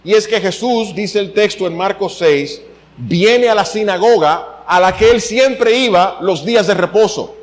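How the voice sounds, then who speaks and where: A man's voice: high voice, measured pace. A middle-aged man from the Dominican Republic with a Dominican accent, preaching a sermon as pastor, his voice swinging upward to stress a point. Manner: animated preaching, voice swinging up for emphasis.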